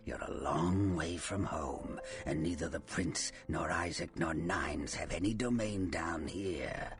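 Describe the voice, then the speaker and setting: A man's voice: sits low, slow, faint music behind. A man playing a sly, taunting Nosferatu vampire and faded film star in a video game, theatrical and teasing, often dropping into a menacing whisper. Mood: mocking, warning. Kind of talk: video game character acting.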